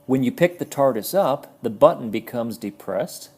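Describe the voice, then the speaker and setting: A man's voice: mid-range voice, lively intonation, normal speed, heavy background noise. A man giving a casual, explanatory toy review, friendly in tone and sometimes stumbling over his words.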